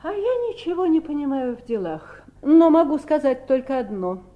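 A woman's voice: high-pitched, big pitch swings, moderate pace, dull audio. A woman acting a shrewd, grasping sister in a Soviet radio drama, coolly insistent and playing innocent. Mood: feigned innocence. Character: shrewd, grasping Southern sister bargaining with her brothers.

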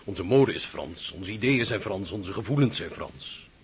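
An actor playing an earnest young guest in a radio play, speaking in an animated, complaining way. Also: male voice, low voice, a little slow, breathy edge, heavily acted. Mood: indignant.

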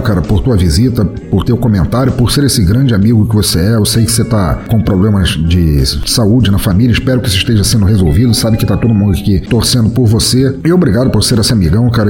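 A man's voice: on the low side, a little mellow. A man with a Brazilian accent hosting a podcast, reading out listener comments and replying in an informal, friendly way. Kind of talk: conversational reading of listener feedback.

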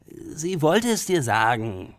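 A man's voice: fairly high pitch, very animated, slow, dry recording. Evasive young man, close dry sound. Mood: reluctant. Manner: terse, evasive, casual. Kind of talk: radio drama acting.

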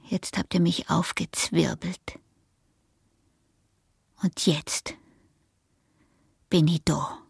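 An actress playing a scheming, defiant peasant wife in a radio drama, with an Austrian accent and a taunting, often hushed delivery. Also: female voice, fairly low pitch, steady tone, extremely slow, creaky, long pauses, slightly rough, heavily acted. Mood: bitter, defiant.

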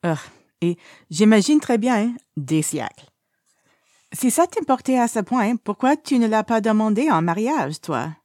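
A woman's voice: fairly high pitch, measured pace, no room sound, studio quality, voice pushed up. Woman voicing a playful, swooping young male tease. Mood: playful exasperation. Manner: animated, mischievous. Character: playful, teasing, nosy young man, dramatically indignant. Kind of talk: storybook character reading.

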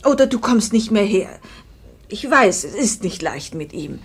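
A weary middle-aged mother in 1950s German radio drama. She speaks at a middle pitch with earnest emphasis, pleading and reproaching as she shields her husband. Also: sing-song, unhurried, breathy edge. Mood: firm, earnest. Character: weary, protective older mother defending her exhausted husband.

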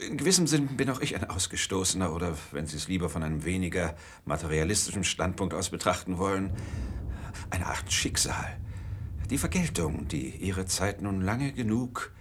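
Radio drama villain: polished gentleman, low register, ornate menace, faint noise behind. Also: lively intonation. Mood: grandiose menace. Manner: formal, ornate, deliberate speech. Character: menacing gentleman villain, coolly polite and self-important. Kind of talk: German radio drama acting.

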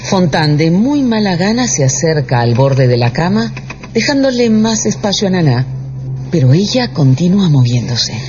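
A man's voice: sits high, sing-song, moderate pace, noisy background. A man giving scripted dramatic narration as the storyteller of a radio drama. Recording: dull radio production with music underneath.

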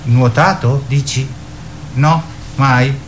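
An actor playing a timid, simple-minded boy in a radio drama, halting, stammering and literal. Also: mid-range voice, steady tone, a little hiss or hum. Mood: earnest. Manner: halting, stammering, repeats himself.

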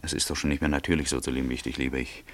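An actor playing an anxious, lovesick man, the secret lover of a married woman, speaking haltingly and often in whispers in a German radio play. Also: rumbling low, flat delivery. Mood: lovesick, agitated. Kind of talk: German radio play acting, intimate and dramatic.

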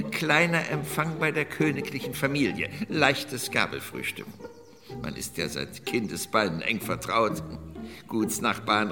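An actor playing a pompous, suspicious old-guard Prussian officer and aristocrat in a German radio play, barking and condescending with stretched words. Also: male voice, medium pitch, close to an everyday voice. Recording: long hall reverb, music underneath. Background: music.

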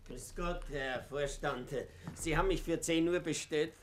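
An actor playing a humble, stubborn old postal worker in a radio play, colloquial and plaintive, with an Austrian accent. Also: male voice, on the high side, a little slow, slight background noise, natural voice. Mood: distressed, pleading. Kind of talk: German-language radio play acting.

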